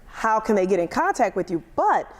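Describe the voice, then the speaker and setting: A woman's voice: medium pitch, very animated, fairly quick. A woman hosting a talk show, upbeat and affirming with her guest. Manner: upbeat, affirming, frequent agreeing interjections. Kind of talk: casual interview chat.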